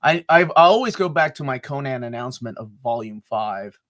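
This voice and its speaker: A man's voice: medium pitch, lively intonation, fairly quick, fairly bright tone. A man co-hosting a live comics talk stream, chatting casually, joking and rambling. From United States. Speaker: co-host of a comics talk show.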